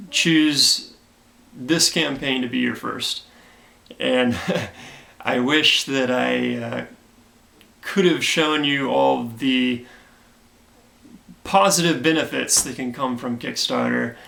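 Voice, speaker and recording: A man who created a comic gives an earnest, grateful update to his backers, hoarse from a cold and filling his talk with "uh". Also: medium pitch, sharp tone, reverberant. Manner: earnest and grateful, many fillers. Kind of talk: casual direct-to-camera talk.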